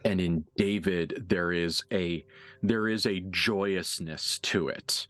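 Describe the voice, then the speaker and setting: A man's voice: low-pitched, fairly even intonation, takes it slowly, fairly bright tone. A man working as game master, narrating a tabletop horror game in a vivid, improvised, dramatic storytelling manner.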